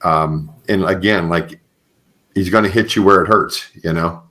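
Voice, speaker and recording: A man's voice: low-pitched, moderate pace, sharp tone. A man hosting a podcast interview, talking conversationally in an earnest, affirming way. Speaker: podcast host.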